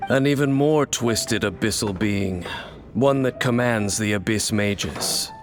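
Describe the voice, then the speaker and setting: A man playing a brooding, mysterious wanderer in a video game, speaking in a grave, measured, formal way. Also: fairly low pitch. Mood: grave, determined. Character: brooding, mysterious male wanderer opposed to dark forces. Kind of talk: dramatic video game dialogue. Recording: game music behind.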